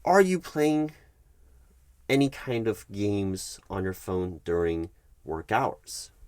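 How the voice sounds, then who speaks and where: Low-voiced man as a measured HR manager. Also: very animated, darkish tone, smooth. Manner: measured, hesitant, formal office phrasing. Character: polite, evasive corporate HR recruiting manager. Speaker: roleplay performer as HR manager. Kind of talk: soft-spoken ASMR roleplay, one-sided workplace meeting.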